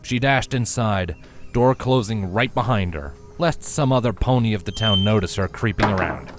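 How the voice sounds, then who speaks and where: A man narrating a scripted story in a dramatic, expressive storytelling manner. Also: lowish voice, moderate pace, loud music behind the voice.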